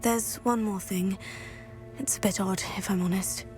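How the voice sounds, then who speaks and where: Lady doing a calm polite student hero, mid voice, faint music. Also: medium pitch, a little slow, natural voice. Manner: polite, composed. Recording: game audio with faint music.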